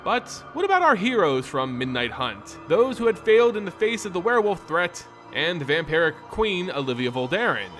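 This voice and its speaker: A man narrating a scripted fantasy story in a dramatic, solemn and measured storytelling manner. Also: high-pitched, very animated, fairly bright tone, faint music behind. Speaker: lore narrator.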